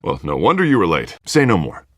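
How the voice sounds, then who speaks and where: A man's voice: low-pitched, huge pitch swings, brisk, no echo, studio quality, full character voice. An actor playing a confident, charismatic middle-aged politician in a video game, with a glib, jovial salesman's manner.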